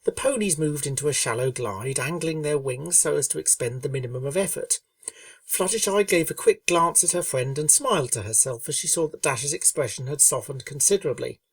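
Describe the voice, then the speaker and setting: A woman reading a story aloud in a calm, steady narrating voice. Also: low-pitched, fairly quick, no room sound, distant mic. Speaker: fanfiction reader.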